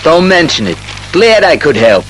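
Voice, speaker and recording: A man's voice: a little high, big pitch swings, fairly bright tone, soft background music, rough recording. An actor playing a dim, eager, bumbling male police sergeant as puzzled comic relief in an audio drama. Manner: slow-witted, puzzled, chummy.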